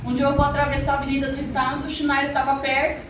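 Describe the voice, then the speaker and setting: Middle aged lady storyteller, high voice, talking to the crowd, far off mic in a really echoey room. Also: fast talker. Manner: expressive, chatty, addresses the audience directly. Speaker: storyteller and arts teacher. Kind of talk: live storytelling talk to an audience. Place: echoey room with a live audience. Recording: echoey room, distant mic, noisy ambience.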